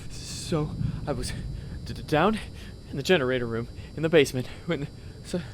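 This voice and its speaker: An actor playing a frightened podcaster hiding from a creature, stammering as he hushedly recounts his escape. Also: fairly high pitch, normal speed, background noise, lightly acted. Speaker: podcaster character. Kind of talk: radio drama acting.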